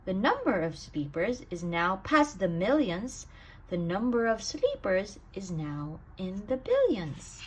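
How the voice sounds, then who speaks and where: A woman reading a rhyming children's bedtime story aloud in an expressive, sing-song way with emphatic stresses. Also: a little high, husky, low-quality recording. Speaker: storybook reader.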